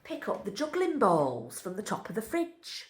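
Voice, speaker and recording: A woman gives an expressive, deliberate reading of a scripted short story. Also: medium pitch, noisy background. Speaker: reader of short stories. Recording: echoey, roomy indoor sound.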